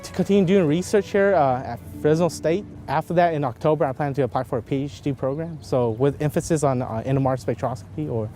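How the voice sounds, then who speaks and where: Higher-voiced man graduate over soft music. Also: fast talker, few pauses. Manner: earnest, with fillers. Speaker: graduating student. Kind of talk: video testimonial.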